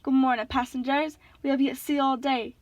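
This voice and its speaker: A woman's voice: high voice, level tone, fairly quick, no echo, lightly acted. A woman voicing an overly cheerful man who makes cruise ship announcements, as a character in an audiobook. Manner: chirpy, announcing.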